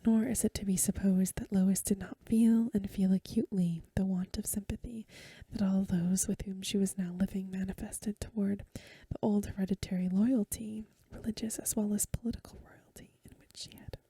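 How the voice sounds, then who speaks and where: A woman reading a story aloud as soft-spoken ASMR, in a gentle, hushed, whispery voice close to the microphone. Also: medium pitch, fairly even intonation, moderate pace, few pauses, slightly rough, no echo. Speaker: ASMR host.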